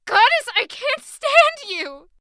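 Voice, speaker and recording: A woman's voice: extremely high pitch, sing-song, takes it slowly, bright, forceful tone, no echo, clean studio sound, heavily acted. An actress playing an anguished, furious young woman in an audio drama, impassioned, accusing and distraught. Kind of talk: emotional audio drama acting.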